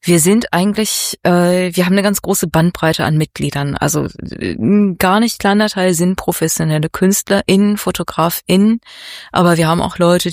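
A woman's voice: lowish voice, no echo, studio quality. A woman being interviewed who answers in a friendly, hesitant way with many fillers. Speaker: interview guest. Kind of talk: casual interview.

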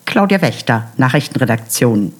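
A female news reporter with a low voice. She reads her report with emphasis and stays formal throughout. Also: sing-song, normal speed, slightly rough.

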